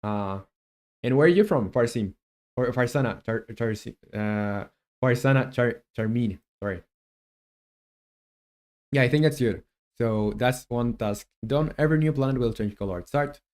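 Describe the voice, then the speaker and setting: Man, mid-pitched voice, indie developer streaming his coding, thinking aloud, casual. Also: a little slow, darkish tone. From Chile. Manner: muses aloud while coding, chats with viewers.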